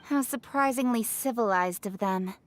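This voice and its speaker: A woman's voice: fairly high pitch, moderate pace, soft background music, close to an everyday voice. A woman playing a proud, skeptical young female official in a video game, with a formal, condescending, assertive manner. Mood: dismissive, sardonic. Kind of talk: video game character dialogue.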